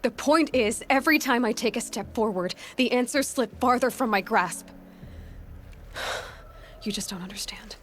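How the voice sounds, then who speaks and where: A woman's voice: a little high, normal speed, pauses often, a little hiss or hum, lightly acted. An actress playing a headstrong young outcast in a video game, a woman with a blunt, challenging, emotionally driven delivery. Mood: frustrated, hurt. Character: headstrong, defiant young female outcast searching for answers. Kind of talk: dramatic video game dialogue.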